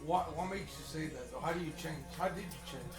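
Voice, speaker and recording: A man with a gravelly voice that sits a bit high asks his questions in a laid-back, slangy way. He sounds distant from the mic in an echoey room, with music clearly running behind him. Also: a little fast. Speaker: interviewer. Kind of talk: casual interview chat.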